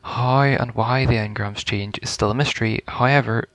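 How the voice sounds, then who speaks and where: A man's voice: mid-range voice, fairly even intonation, normal speed, a bit bright, dry recording. A man giving casual first-reaction gameplay commentary, informal and stumbling a little as he reads game text aloud. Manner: informal, stumbles over words when reading aloud. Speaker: gaming video creator.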